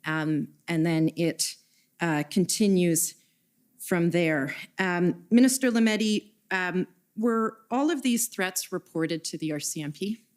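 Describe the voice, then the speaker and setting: A woman questioning a witness at a formal hearing, reading out document numbers and quoted messages in a measured way. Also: on the low side. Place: hearing room.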